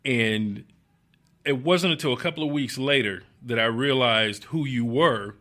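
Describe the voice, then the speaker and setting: A man hosting a casual interview, easygoing and chatty, often pausing on filler words. His voice sits at a middle pitch with a bright, forward edge. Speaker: podcast host.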